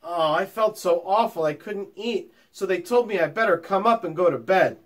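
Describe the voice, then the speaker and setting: A man voicing a young boy who feels sick and talks in a sad, flat way. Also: sits high, moderate pace, lightly acted. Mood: gentle.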